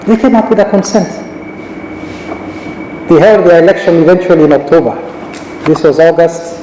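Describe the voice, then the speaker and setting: A middle-aged man from Kenya, a judge, giving a measured, anecdotal conference talk with frequent restarts. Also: a little high, warm tone, slight background noise. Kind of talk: conference speech. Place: large conference hall. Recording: echoey hall recording.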